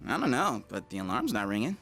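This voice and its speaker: An actor voicing an easygoing, goofy high-school slacker in a video game, casual and exclamatory. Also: male voice, sing-song, fast talker, creaky, fairly bright tone, soft background music.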